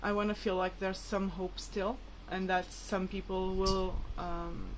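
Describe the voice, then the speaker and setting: A woman's voice: medium pitch, flat delivery, unhurried, a little hiss or hum, rough recording. A woman whose mother tongue is Portuguese, talking casually and hesitantly to viewers in a rambling, informal vlog. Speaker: vlogger.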